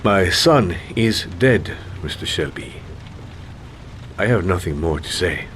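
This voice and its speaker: A man's voice: deep voice, animated, slow, a bit bright, slight background noise, exaggerated voice. An actor playing a sorrowful, guarded father who has lost his son, in a dramatic video game. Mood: grieving, guarded.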